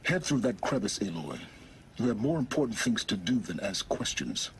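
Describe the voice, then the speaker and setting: A man's voice: on the low side, very animated, moderate pace, slight background noise. An actor voicing a secretive, scheming male guide in a game, speaking in a clipped, dry, commanding way. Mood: cool.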